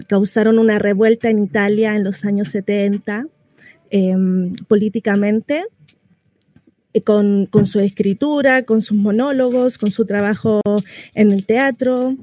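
A woman, a radio guest explaining a reading and pausing to search for words. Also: mid-range voice, dark tone, no echo. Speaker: guest reader on radio show. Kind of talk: introducing a dramatic reading.

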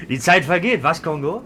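An actor playing a cocky, teasing young prodigal son in a radio play, brash and sardonic. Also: male voice, on the high side, lively intonation, a little slow, bright, forceful tone. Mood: teasing, mocking. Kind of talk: German radio play acting. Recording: noisy old radio recording.

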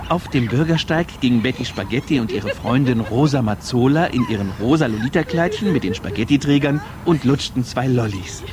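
A man's voice: medium pitch, expressive, a little fast, few pauses, crowd noise, natural voice. A man reading scripted literary narration in a radio play. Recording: clear noise behind the voice.

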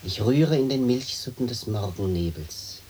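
An actor playing a wistful, dreamy gentleman butterfly collector in a radio play, speaking in a lyrical, musing way on an old recording. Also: medium pitch, expressive, moderate pace, a little hiss or hum, close to an everyday voice. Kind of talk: poetic German stereo radio play acting.

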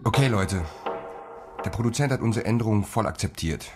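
A veteran scriptwriter, voiced by an actor in a radio play, very deep and matter-of-fact, easygoing with his team. Music runs behind. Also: expressive, fairly quick, close to an everyday voice. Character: experienced man writer leading the team. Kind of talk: radio drama acting.

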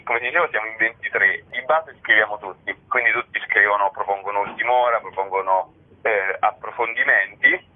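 A man being interviewed as a guest, explaining his work in a chatty way with many filler words. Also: lowish voice. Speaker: interview guest, satirical writer. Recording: narrow tinny phone line on radio.

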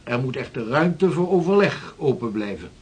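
Radio drama: eager, decisive sea captain, husky mid-range voice, hearty and formal. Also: male voice, medium pitch, sing-song, moderate pace, a little mellow. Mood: businesslike. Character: seasoned, eager Dutch sea captain and navigator. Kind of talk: radio play acting.

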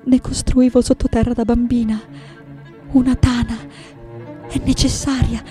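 An actress playing a reflective, anguished and defiant artist in her late nineties in a theatrical, deliberate radio monologue. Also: a little high, flat delivery, warm tone, faint music behind, lightly acted. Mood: urgent, pleading. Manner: theatrical, deliberate, dwelling on repeated words. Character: 98-year-old woman artist, intense, reflective, anguished, defiant.

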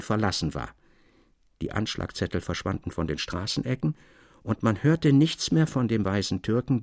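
Storybook part: polite old gentleman, played by a male narrator, formal and knowing, creaky voice, dry studio sound. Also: mid-range voice, dry recording. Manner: courteous, formal, old-fashioned.

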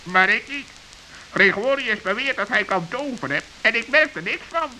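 A man's voice: high voice, expressive, normal speed, sharp tone, pitched above the performer's own voice, exaggerated voice, rough recording. A man voicing a stern, sensible forest bird in a children's radio play, questioning and matter-of-fact. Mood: dismissive. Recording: hissy old radio recording.

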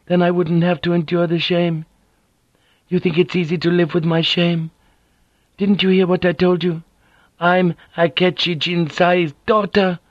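A man reading a story aloud, voicing a proud, wounded noblewoman who speaks calmly and bitterly. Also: on the low side, measured pace, no room sound, muffled recording, pitched above the performer's own voice. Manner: calm, controlled, bitter.

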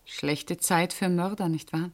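An actress playing a pointed, suspicious witness under questioning in a radio crime drama. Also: female voice, on the low side, steady tone, unhurried, close to an everyday voice. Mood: guarded. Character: pointed, suspicious female witness, friend of the victim. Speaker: actor.

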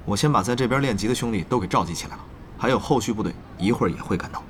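A man's voice: fairly low pitch, racing, a little hiss or hum, close to an everyday voice. A young man playing a brash, wily gamer guild leader bantering with rivals in an audio drama. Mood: businesslike. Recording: music under the dialogue.

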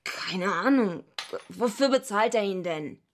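A woman's voice: mid-range voice, animated, a little slow, slight background noise. An actress playing a naive but tough young female wrestler in a radio drama, plain-spoken and slangy as she tells and acts out her story. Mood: indignant, confused. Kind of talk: radio drama acting and first-person narration.

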